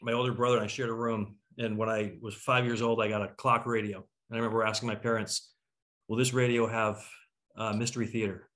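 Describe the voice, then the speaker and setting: Low-voiced middle-aged man on a video call. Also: flat delivery, a little fast, a bit bright. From Toronto, Canada. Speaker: host. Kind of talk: casual panel discussion. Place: home room, on a video call.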